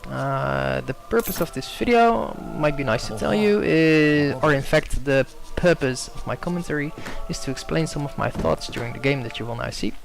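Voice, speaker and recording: A young man whose first language is Dutch talks through his own strategy game match in a gravelly voice, with clear music playing underneath. He hesitates often, fills gaps with ums and corrects himself mid-sentence. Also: on the high side, moderate pace, hardly any pauses. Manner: hesitant, many fillers and self-corrections. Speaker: gameplay commentator. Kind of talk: casual gameplay commentary.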